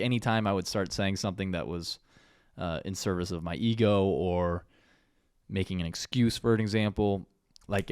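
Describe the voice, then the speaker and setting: A man's voice: low voice, clear, resonant tone, no echo, clean studio sound. A young man co-hosting a casual podcast who agrees readily and tells stories in an easygoing way. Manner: easygoing, agreeable, with many yeahs. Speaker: podcast co-host. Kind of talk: casual conversation.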